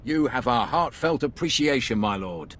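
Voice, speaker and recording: Male actor, confident game military officer, persuasive briefing, animated intonation, slight background noise. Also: medium pitch, normal speed, natural voice. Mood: respectful, assured. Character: confident, smooth-talking Imperial military officer. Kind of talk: scripted game cutscene dialogue.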